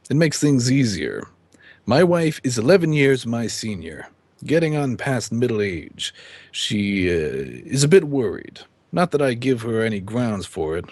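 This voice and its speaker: An actor playing a stern, middle-aged judge and weary husband, speaking formally and with measured delivery in an old-time radio drama. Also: male voice, mid-range voice, no room sound. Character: stern male judge, weary husband confiding his downfall. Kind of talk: old-time radio drama acting and first-person narration.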